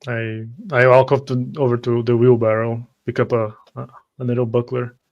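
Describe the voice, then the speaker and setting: Male tabletop player, even-toned, hesitant, word-repeating, narrating his character's moves. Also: medium pitch, normal speed, dull audio. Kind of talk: in-character roleplay, first-person action narration.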